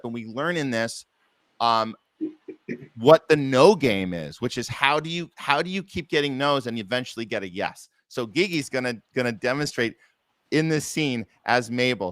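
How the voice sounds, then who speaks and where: A man's voice: medium pitch, fairly bright tone, no room sound. A man hosting a casual interview, talking in an effusive, appreciative, rambling way. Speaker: podcast host, theater producer.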